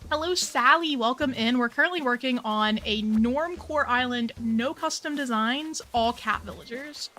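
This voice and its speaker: A woman's voice: fairly high pitch, normal speed, bright, forceful tone. A young woman streaming a cozy game, chatting casually and bubbly with her viewers, her voice a little worn. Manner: chatty, bubbly, says "like" a lot. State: slightly losing her voice.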